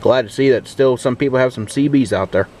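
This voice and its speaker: An American man casually talking through a demonstration in an unhurried, rambling way, recorded on a handheld camera inside a pickup truck. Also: mid-range voice. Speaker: vlogger demonstrating an old CB radio. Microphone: handheld camera, speaker off screen. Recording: very noisy, radio static and vehicle ambience.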